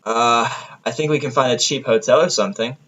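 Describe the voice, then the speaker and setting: A man's voice: medium pitch, brisk, a bit bright, natural voice, rough recording. Man as an easygoing, casual female pony. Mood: casual, improvising. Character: easygoing female pony, friendly, improvising plans.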